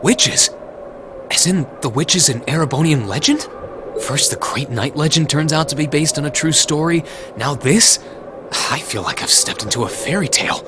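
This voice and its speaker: A man's voice: a little high, lively intonation, moderate pace, background noise, natural voice. A man playing an earnest, stubborn young male hero in a video game, speaking with open emotion. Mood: curious, wry.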